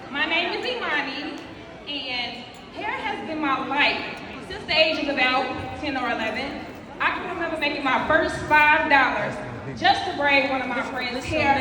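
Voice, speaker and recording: Woman on stage, high and lively, echoey hall from a distance. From Chicago. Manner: proud, playful, animated. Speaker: hair show contestant. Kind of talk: scripted stage introduction. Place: large hall at a hair show. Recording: echoey hall recorded from far off with crowd noise.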